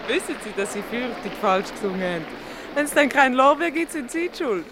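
Needling Swiss wife, noisy backdrop. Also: female voice, sits high, animated. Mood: reproachful, needling. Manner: brisk, reproachful. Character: bossy, needling married woman. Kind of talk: radio comedy play acting.

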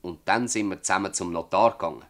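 An actor playing a stubborn, bitter old village farmer in a Swiss German dialect radio play, rambling and emphatic with rhetorical repetition. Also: male voice, deep voice, quick pace, fairly bright tone. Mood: earnest, emphatic.

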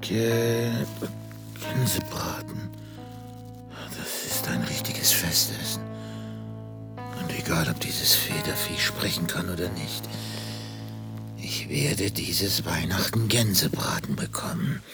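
Deep, muttering, gluttonous father. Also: expressive, slow, pauses often, exaggerated voice. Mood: greedy muttering. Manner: muttering, breathy. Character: scheming gluttonous father, a pompous opera singer. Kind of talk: German radio play acting. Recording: music behind the voice.

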